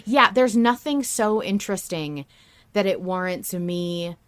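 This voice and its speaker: A woman's voice: medium pitch, animated, a little slow, a crowd in the background. A woman hosting a podcast, talking casually and emphatically as a fan with strong opinions. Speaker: podcast host discussing an audio drama.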